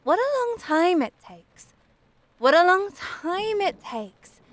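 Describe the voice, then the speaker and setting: A woman's voice: high-pitched, sing-song, measured pace, a bit bright, dry recording, full character voice. A woman voicing an eager, yearning young female snowdrop flower in a storybook, with longing exclamations. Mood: impatient longing.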